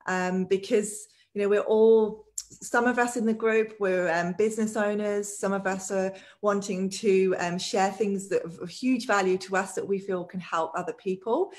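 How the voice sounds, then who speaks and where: A woman's voice: medium pitch, steady tone, normal speed, darkish tone. A woman hosting a live video-call interview, upbeat with many fillers. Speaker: host. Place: home room.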